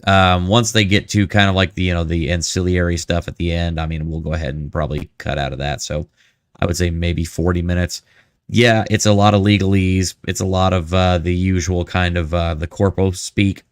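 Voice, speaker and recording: A man's voice: sits low, level tone, brisk, smooth, dry recording, clean studio sound. A man hosting a live stream with casual, joking commentary and asides to his chat.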